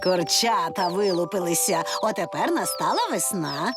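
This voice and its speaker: A woman's voice: lowish voice, lively intonation, normal speed, over loud music. An actress playing a kindly, playful grandmother pig in a children's cartoon dub.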